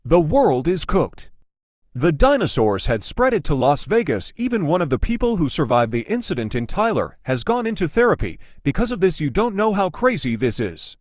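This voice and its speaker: A man's voice: a little high, big pitch swings, normal speed, no room sound, studio quality. A man playing an alarmed character pleading urgently and emphatically in an amateur horror drama. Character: alarmed man pleading about a spreading dinosaur threat.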